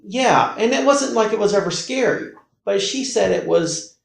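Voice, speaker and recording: An American man talks casually, as a guest telling a personal story. His voice sits a little high and is thick with gravelly fry. He moves in halting, emphatic bursts, repeating himself, with the room echoing around him. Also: lively intonation. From North Carolina, United States. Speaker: podcast guest recounting personal paranormal experiences. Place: indoor room, in-person conversation. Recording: roomy, echoey indoor recording.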